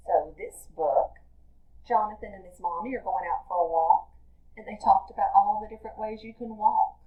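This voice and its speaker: A woman teacher reading a picture book aloud to young children in a deliberate, expressive storytelling manner. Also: medium pitch, phone-quality sound.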